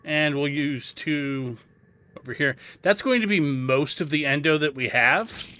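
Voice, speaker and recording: A man's voice: a little high, expressive, measured pace, pushed and bright, clear, resonant tone. A man giving a casual game walkthrough, explaining things as he goes and thinking aloud. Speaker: gameplay guide host.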